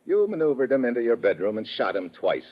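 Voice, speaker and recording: A man playing a tough, wisecracking undercover investigator in 1950s radio drama, husky, blunt and sardonic, on a dull old radio recording. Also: mid-range voice, big pitch swings, fast. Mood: firm explaining. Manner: hard-boiled, sardonic, blunt.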